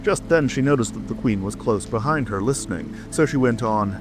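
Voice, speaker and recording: A man's voice: medium pitch, animated, fairly quick. A man narrating a storybook as a dramatic, scripted audiobook reading. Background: music.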